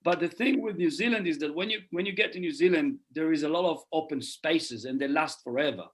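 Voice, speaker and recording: A man's voice: on the high side, fairly quick, husky. A middle-aged man from Israel, speaking earnestly as a podcast guest and often repeating phrases as he thinks. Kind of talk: casual podcast conversation.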